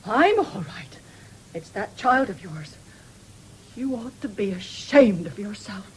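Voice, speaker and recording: A woman's voice: mid-range voice, expressive, slow. An actress playing a stern, caring older farm mother and grandmother in a radio play, plain-spoken and reproachful. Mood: stern, indignant. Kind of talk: 1970s BBC radio play acting. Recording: noisy old radio broadcast recording.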